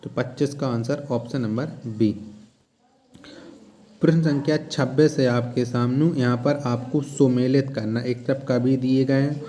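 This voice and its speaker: A man's voice: medium pitch, steady tone, measured pace, mellow. A man teaching a question-and-answer exam lesson in an instructional way, repeating questions and key words.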